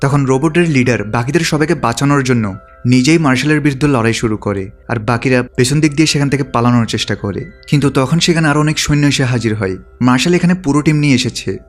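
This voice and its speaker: A man's voice: mid-range voice, quick pace. Guy doing a movie recap voiceover, scripted storytelling, bit of music behind him. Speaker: narrator of a film recap. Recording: background music under the voice.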